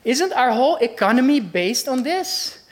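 A woman's voice: sits high, big pitch swings, normal speed. Dutch-accented woman preacher, earnest, conversational, full of rhetorical questions. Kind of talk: sermon.

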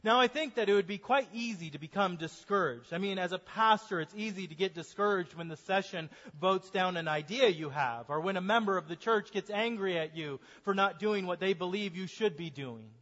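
High-voiced pastor preaching in an echoing church. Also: male voice, a bit bright. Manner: earnest preaching, slows for emphasis. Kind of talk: sermon. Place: church sanctuary. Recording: dull sermon recording in a reverberant church.

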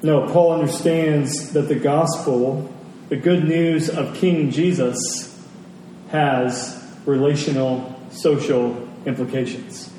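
High-voiced man preaching in an echoing hall. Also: steady tone, mellow. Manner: deliberate, dramatic pauses and emphasis. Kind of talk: storytelling sermon to a congregation. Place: large indoor church space. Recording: very echoey hall, distant mic, faint noise.

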